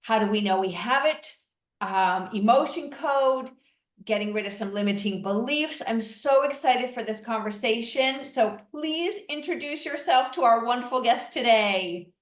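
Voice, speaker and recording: A woman's voice: on the high side, unhurried, distant mic. A woman hosting a podcast, talking in an enthusiastic, emphatic way. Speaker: podcast host. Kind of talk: casual podcast conversation. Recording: echoey voice from off the mic.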